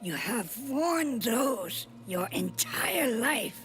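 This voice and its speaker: A woman playing a solemn, commanding female spirit guide in an animated game cinematic, speaking gravely with portentous, emphatic pauses. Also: on the high side, over music, heavily acted. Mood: solemn, urging.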